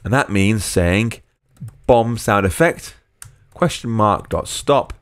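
A man's voice: lowish voice, slow, smooth, no room sound. A man narrating a screencast coding tutorial as a programming tutor, explaining step by step and dictating code word by word.

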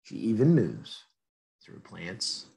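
A man's voice: on the low side, huge pitch swings, takes it slowly, creaky, dark tone, husky. A man reading a children's picture book aloud expressively, addressing young listeners with questions and asides. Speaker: read-aloud storyteller.

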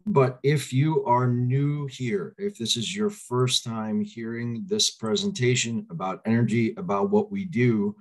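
A man in his forties, slightly hoarse, giving an upbeat online presentation over a video call from a small room at home, asking listeners to answer in the chat. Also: medium pitch, unhurried, few pauses. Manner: upbeat, coaxes audience to reply in chat. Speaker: crypto presenter. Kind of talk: webinar presentation. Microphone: webcam on video call.

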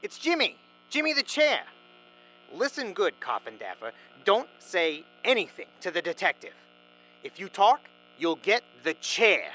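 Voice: medium pitch, very animated, a little slow, sharp tone, faint music behind, exaggerated voice, low-quality recording. An actor playing an eager-to-please small-time gangster henchman, goofy and nervous with stammering bravado. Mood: goofy menace.

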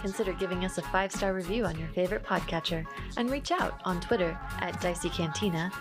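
A woman reading scripted podcast credits and promotional narration as the show's announcer. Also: fairly low pitch. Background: prominent music.

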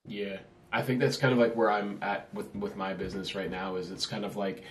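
Very deep-voiced young man hosting. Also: a little fast, slight background noise. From New Zealand. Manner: relaxed and affirming, with many fillers and yeahs. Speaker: podcast host. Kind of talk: casual interview chat. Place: small office with a whiteboard. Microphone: over-ear headphones with a desk mic. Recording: echoey small room.

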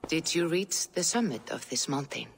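A woman voicing a mystical Norse seer in a video game, measured and portentous, like an oracle delivering fate. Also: low-pitched, animated, a little hiss or hum. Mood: solemn, foreboding. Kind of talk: dramatic video game cutscene acting.

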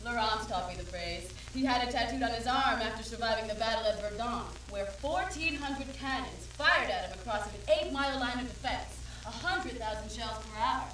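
A woman playing a spirited, worldly 1920s radio actress, animated and declamatory in period stage dialogue. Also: a little high, moderate pace, hardly any pauses, a little hiss or hum, close to an everyday voice. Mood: earnest. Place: dark small performance stage. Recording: very echoey, slightly distant stage recording.

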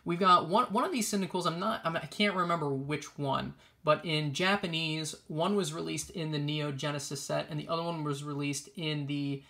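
A man's voice: on the high side, normal speed, creaky, hardly any pauses, sharp tone. A young man giving casual, chatty show-and-tell commentary as an enthusiastic collector hosting a video. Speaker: trading card collector and video host. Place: indoors, small room at a table.

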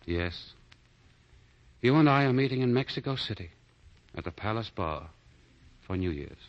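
A deep-voiced, sorrowful gentleman lover in a 1940s radio romance. He speaks earnestly and with measure, on a muffled old broadcast. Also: animated, normal speed. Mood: wistful, evasive. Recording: muffled 1940 radio broadcast, faint music.

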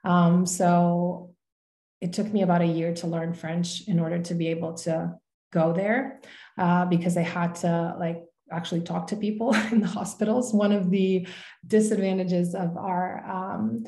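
A woman's voice: lowish voice, measured pace, echoey room. A young woman with a Croatian accent, speaking English as a second language and chatting openly as a podcast guest over a video call, with plenty of "like" and "um". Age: young adult. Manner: relaxed and open, with frequent "like" and "um". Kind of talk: casual interview chat. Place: home room, video call. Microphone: video call webcam.

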